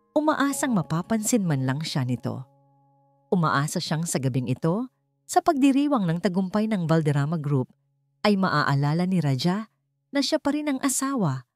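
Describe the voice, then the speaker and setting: A woman narrating a dramatic family story in a slow, scripted storytelling read. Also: on the low side. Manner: slow, dramatic, even reading.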